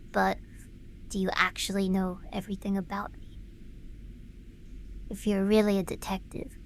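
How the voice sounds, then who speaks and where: A woman's voice: mid-range voice, a little hiss or hum. A woman playing a hurt, combative young streamer in an audio drama, talking in a sarcastic, confrontational way. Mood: bitter.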